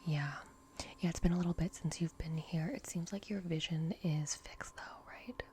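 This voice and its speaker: A woman doing a gentle ASMR roleplay as a reassuring technician, speaking in an unhurried, breathy whisper. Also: lowish voice, steady tone, a little hiss or hum. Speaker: ASMR roleplay performer playing a repair technician.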